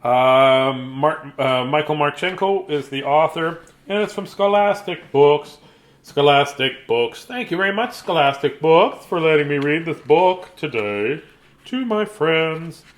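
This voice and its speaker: A man's voice: fairly high pitch, expressive, unhurried. A man chatting casually as host of a bedtime story reading, playful and self-deprecating, with joking asides.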